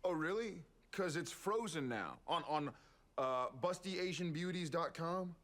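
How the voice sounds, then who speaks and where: An actor playing an irritable, bickering younger brother in a TV drama. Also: male voice, fairly high pitch, animated, measured pace, a bit bright. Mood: irritated. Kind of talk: TV drama acting.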